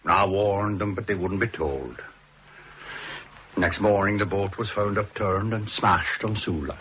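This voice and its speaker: An actor playing a plain-spoken, kindly island fisherman in a 1960s radio drama, unhurried and saying "aye". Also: on the low side, lightly acted. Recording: dull old broadcast recording with faint hiss.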